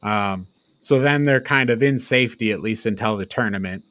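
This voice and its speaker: A man's voice: lowish voice, moderate pace, fairly bright tone, no echo. Casual, rambling man in a dry-sounding space. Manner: casual, rambling, sweary, often chuckling. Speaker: podcast co-host. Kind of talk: casual film review chat, retelling the plot.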